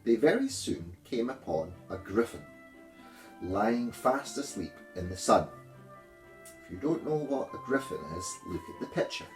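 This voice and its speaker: Slow, expressive male storybook narrator. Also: medium pitch, echoey room, faint music behind, poor audio. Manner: measured, expressive. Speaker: storybook reader, primary school teacher.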